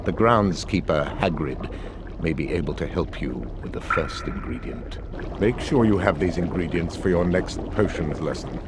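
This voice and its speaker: An actor playing a stern, contemptuous potions professor in a video game, speaking in a deliberate, ominous way. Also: male voice, low voice, expressive. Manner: deliberate, ominous, disdainful delivery. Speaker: potions teacher. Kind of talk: scripted video game cutscene acting. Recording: game audio with loud background noise.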